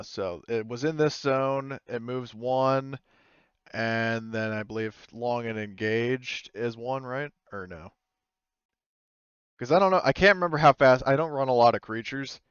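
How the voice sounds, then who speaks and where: A man's voice: mid-range voice, clear, resonant tone, no room sound, lo-fi sound. A man acting as game master, narrating casually and tentatively as he thinks aloud. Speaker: game master of a tabletop roleplaying game.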